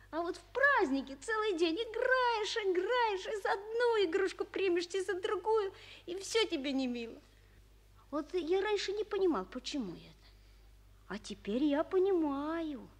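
A woman's voice: very high-pitched, heavily acted. An actress in a 1940s radio play voicing a polite, eager, curious little boy with a childlike, earnest delivery. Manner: polite, wide-eyed, earnest. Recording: old 1940s radio recording.

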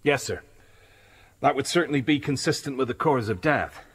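An actor playing a polite, matter-of-fact policeman in a radio drama, deferential and deliberate. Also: medium pitch, breathy edge, lightly acted. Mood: solemn, official.

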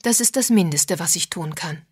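A woman's voice: on the low side, animated, normal speed, darkish tone, husky, no room sound, studio quality. A woman voicing an unhappily married woman who is embarrassed and confiding, earnest and confessional, in an audiobook.

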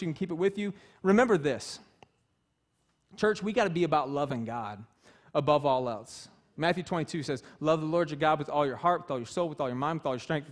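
A man in his thirties preaching a sermon as pastor, emphatic and passionate, in a small church. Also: high-pitched. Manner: passionate, emphatic, gesturing freely while preaching. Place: small church stage with wood-panel walls.

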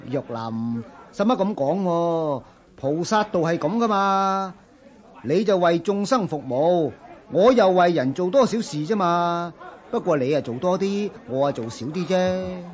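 Jovial, high-pitched chatty monk. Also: male voice, expressive, unhurried, darkish tone. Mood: good-humoured. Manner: colloquial, jolly, exclamatory. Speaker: radio drama actor. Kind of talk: Cantonese radio drama acting.

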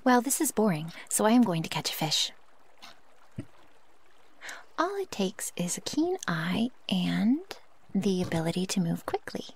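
A woman playing a naive, impatient young werewolf in an ASMR roleplay, speaking softly and breathily in stilted, formal phrasing. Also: mid-range voice, big pitch swings, measured pace, pauses often, heavily acted. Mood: earnest, curious. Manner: stilted formal phrasing without contractions, often breathy or near-whispered. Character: feral young female werewolf, naive, curious, impatient, possessive. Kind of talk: ASMR roleplay, intimate one-sided dialogue.

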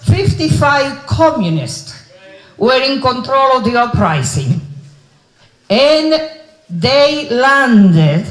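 An older woman addresses a crowd from an outdoor stage, her handheld mic ringing through the speakers. She talks at an unhurried, deliberate pace, stacking repeated phrases for emphasis. Her voice sits high. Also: a bit bright. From Dominican Republic. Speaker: rally speaker. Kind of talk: impassioned outdoor rally speech. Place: outdoor stage in front of a mural. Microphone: handheld microphone. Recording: echoey outdoor PA sound.

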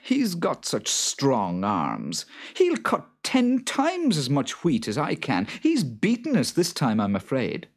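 A man's voice: medium pitch, huge pitch swings, slow, few pauses, voice pushed up, heavily acted. A man voicing a plucky, teasing farmer in a children's storybook. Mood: worried. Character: cheerful male farmer, plucky, teasing underdog.

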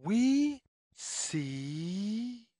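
Very slow, lively woman reading to children. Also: mid-range voice, no room sound. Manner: deliberate, sing-song, pausing between phrases. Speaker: storyteller reading aloud to children. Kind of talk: slow read-aloud of a children's picture book.

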